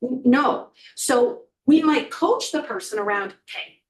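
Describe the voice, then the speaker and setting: A woman's voice: sits high, animated, slow, recorded from a distance. A woman testifying before a committee, explaining in an earnest way with frequent ums. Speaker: corrections official testifying. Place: legislative hearing room. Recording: echoey hearing room, voice off-mic.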